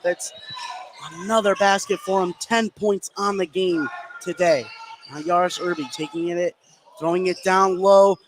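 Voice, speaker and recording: A man's voice: sits high, steady tone, a little slow. A man doing live play-by-play commentary of a basketball game. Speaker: play-by-play announcer. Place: courtside in a school gym. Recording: noisy gym broadcast. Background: loud gym ambience.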